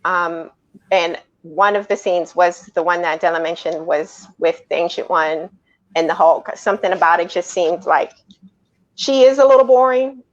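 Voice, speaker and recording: A woman's voice: fairly low pitch, moderate pace, highs cut off. A woman speaking casually as a panelist in a movie review discussion.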